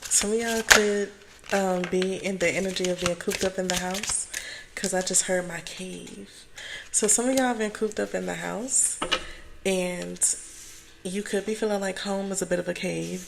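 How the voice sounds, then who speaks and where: A hoarse woman speaking calmly and softly as she gives a casual tarot reading. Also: fairly low pitch, noisy background. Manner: calm, soft-spoken, chatty. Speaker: tarot reader.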